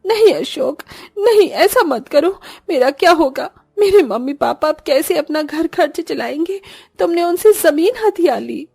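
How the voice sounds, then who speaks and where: A woman's voice: high voice, expressive, a little slow, creaky, hardly any pauses, darkish tone, no room sound, studio quality, full character voice. A woman voicing a sorrowful young bride in a cartoon story, earnest, plaintive and pleading. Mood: distressed.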